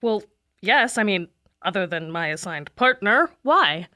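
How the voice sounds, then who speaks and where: A woman's voice: mid-range voice, animated, unhurried, bright, forceful tone. A woman playing a proud, exasperated teenage girl monster hunter in an audio drama. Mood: defensive. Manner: exasperated, sarcastic.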